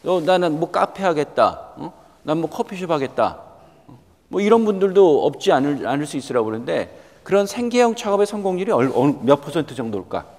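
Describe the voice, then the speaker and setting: Animated man in his fifties, reverberant hall. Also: a little high, fairly quick. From South Korea. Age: 50s. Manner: emphatic, questioning the listeners directly, repeating key points. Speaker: guest lecturer, company executive. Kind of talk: motivational lecture to students.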